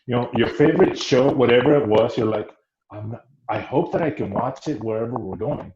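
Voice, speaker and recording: A man's voice: medium pitch, crowd noise. A man hosting an interview podcast. He often restarts a thought and asks several questions in a row. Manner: talks slowly, stacks up questions with restarts. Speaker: podcast host. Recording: roomy, muffled audio with faint noise.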